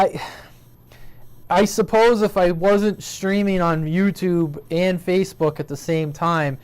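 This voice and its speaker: A man's voice: fairly high pitch, clean tone. Rambling man hosting a radio chat. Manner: relaxed, rambling with restarts. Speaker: host of a CB radio stream. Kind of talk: casual chat.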